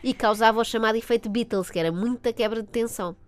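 A woman's voice: fairly high pitch, quick pace. A woman with a European Portuguese accent delivering a scripted comic monologue as a radio host, in a wry, mock-earnest manner.

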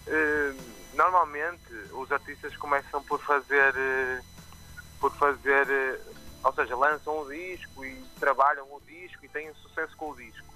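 A man with a Porto accent and some Brazilian expressions, a musician talking casually in a live radio interview, often pausing with fillers and restarting. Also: medium pitch, soft background music, rough recording. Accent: Porto Portuguese with some Brazilian expressions. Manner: relaxed, chatty, with frequent fillers and restarts. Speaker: musician guest. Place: remote from his own location, on live radio.

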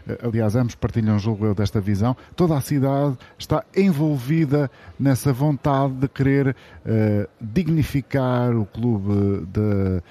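A man with a European Portuguese accent hosting a live radio sports discussion, speaking in measured, formal, winding sentences. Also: mid-range voice, warm tone. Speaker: radio host.

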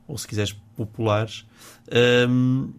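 Male radio guest from Porto, northern Portuguese accent, medium pitch, hesitant and chatty, studio mic. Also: little pitch movement, slow, smooth. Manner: chatty, hesitant, repeats words, laughs easily. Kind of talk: casual interview chat. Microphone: studio microphone.

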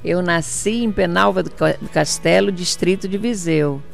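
A woman's voice: lowish voice, moderate pace, vocal fry, faint music behind. An older woman from Portugal, answering an interview as a city councillor, insistent and repeating her points for emphasis.

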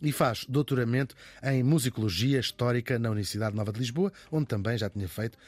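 Man, interview host, quick pace, playful, dry sound. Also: medium pitch, a little mellow, husky, no room sound. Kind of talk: interviewing guests.

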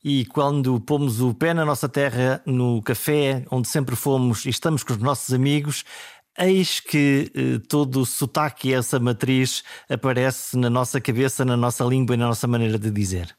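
A man's voice: medium pitch, fairly even intonation, moderate pace. A man with a European Portuguese accent hosting a conversational interview, musing in reflective, hesitant phrases. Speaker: interviewer, show host.

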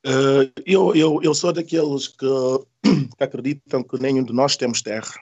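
A man's voice: mid-range voice, steady tone, moderate pace, a little mellow, husky, dry recording, lo-fi sound. Middle-aged man, Portuguese speaker. Musician as interview guest, informal and reflective, repeats himself, dry recording. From Senegal. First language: not Portuguese. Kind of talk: casual, reflective personal storytelling.

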